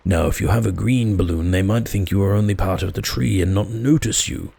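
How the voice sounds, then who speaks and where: A man voicing an earnest, dim-witted toy bear in a slow, ponderous storybook manner. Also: sits low, gravelly, dark tone, breathy edge, no room sound, exaggerated voice. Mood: earnest, pondering.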